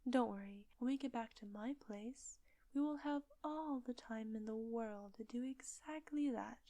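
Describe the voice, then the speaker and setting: A woman acting a seductive, controlling witch in an intimate roleplay audio drama, with breathy, teasing, commanding delivery. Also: a little high, dark tone, dry recording. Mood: possessive, teasing.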